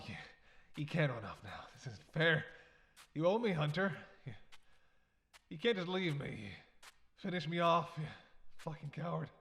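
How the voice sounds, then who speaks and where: A man playing a starving captive vampire in an audio roleplay, halting and breathy, weak, wry and desperate. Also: on the high side, a bit bright, full character voice. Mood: desperate pleading. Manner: halting, wry, intimate address to listener. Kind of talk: dramatic audio roleplay monologue.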